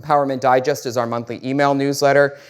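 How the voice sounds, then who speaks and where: A man's voice: medium pitch, level tone, fast, smooth. A man with an American accent giving a casual, upbeat presentation to a conference audience, with frequent fillers and restarts. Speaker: conference speaker. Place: conference room before an audience.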